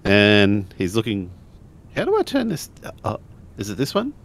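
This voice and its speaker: A man's voice: fairly low pitch, huge pitch swings, normal speed, vocal fry. A man narrating and acting out his character in a tabletop role-playing game.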